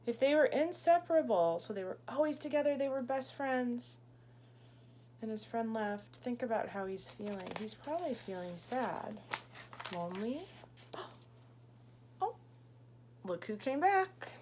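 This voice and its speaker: Slow, expressive woman teacher reading aloud. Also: a little high, noisy background. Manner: explains words and prompts young listeners with questions. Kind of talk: expressive picture-book read-aloud with asides and questions.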